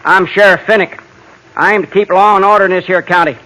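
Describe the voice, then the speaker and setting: A man's voice: high voice, fairly even intonation, quick pace, sharp tone, poor audio. An actor playing a stern, suspicious lawman in an old radio western, curt and challenging, with a rural American Western accent. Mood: stern suspicion. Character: stern suspicious frontier sheriff.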